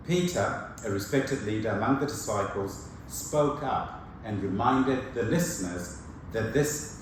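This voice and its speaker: A man whose first language is Shona, giving a sermon as a preacher, measured and earnest, with slow emphatic phrases. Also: lowish voice, slight background noise. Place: church hall. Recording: echoey church from far off.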